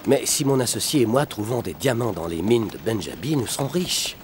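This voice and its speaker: A man playing a loving, courteous, wealthy father in a French cartoon dub, gentle and earnest. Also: lowish voice, expressive, fairly quick, faint music behind, close to an everyday voice. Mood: tender, reassuring.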